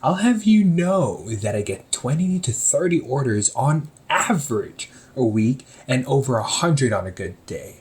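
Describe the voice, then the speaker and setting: Male performer as a relaxed young shop owner, teasing his sibling, slow talker with lots of pitch movement; audio roleplay. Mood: defensive. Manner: lively, teasing, laughs often. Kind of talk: one-sided audio roleplay.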